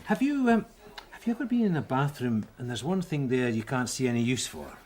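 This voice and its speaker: Man as easy-going police sergeant, animated, chatty and joking, radio drama. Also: medium pitch, brisk, slight background noise, close to an everyday voice. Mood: bantering. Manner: chummy, joking, rambling. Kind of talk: naturalistic British radio drama acting.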